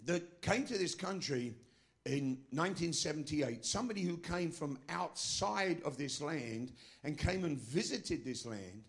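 Man, pastor, middle-aged, non-American accent, fairly high voice, emphatic sermon, rhetorical questions. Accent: foreign, not American. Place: church.

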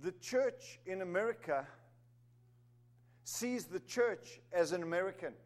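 A man's voice: high-pitched, expressive, unhurried. Man preaching a sermon. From Zimbabwe, raised in South Africa. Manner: emphatic, rising to stress key words, with humorous asides.

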